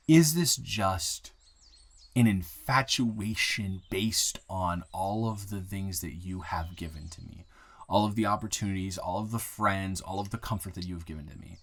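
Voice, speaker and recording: A man playing an earnest, grateful young man shyly confessing love to a friend in an intimate, halting, improvised audio roleplay. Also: low voice, breathy edge, heavily acted. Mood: nervous, earnest. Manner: halting, rambling, earnest, breaking into soft laughs.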